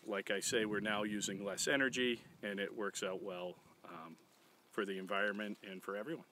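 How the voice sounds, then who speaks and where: Bright-toned farmer talking outdoors. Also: male voice, lowish voice, steady tone, normal speed, a little hiss or hum. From Massachusetts. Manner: plain, matter-of-fact, with frequent fillers. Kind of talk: casual interview talk. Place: outdoors in a farm field.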